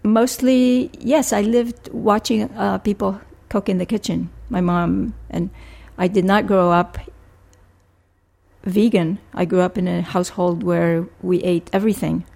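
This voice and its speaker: An older woman from Rico, a family physician answering interview questions in a measured, thoughtful way. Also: mid-range voice, fairly even intonation, gravelly, a little mellow. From Puerto Rico. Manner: measured, thoughtful, with frequent restarts.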